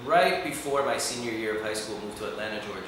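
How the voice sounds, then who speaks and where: A man's voice: on the low side, fast, a bit bright. A man born in Iran, a guest musician, chatting casually in an interview with a laid-back, rambling manner full of fillers. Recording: echoey room from a distance, background noise.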